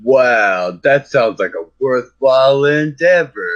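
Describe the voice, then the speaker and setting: A man's voice: mid-range voice, animated, slow pace, lo-fi sound. A man playing a helpful, easygoing young male friend in a comedy radio sketch. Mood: agreeable. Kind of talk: live comedy sketch read as radio play.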